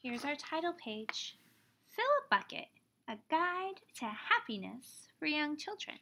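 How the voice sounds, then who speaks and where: A woman in her thirties reading a children's picture book aloud like a teacher, expressively, pausing to explain things simply for young children. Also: high voice, sing-song, bright, forceful tone, a little hiss or hum. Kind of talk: children's storybook read-aloud.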